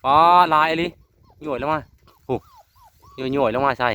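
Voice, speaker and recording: A man's voice: medium pitch, normal speed, pushed and bright, clean tone. A man with an Isan Thai accent chatting casually and in a folksy way to his viewers while filming outdoors in the countryside. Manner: often addresses viewers in a folksy way. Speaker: vlogger filming a family outing. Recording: noisy outdoor rural recording.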